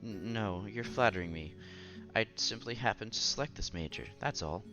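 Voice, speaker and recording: A man's voice: fairly low pitch, normal speed, sharp tone, faint music behind. A man playing a modest, timid young science student with a hesitant, stammering delivery. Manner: hesitant, stammering, self-effacing.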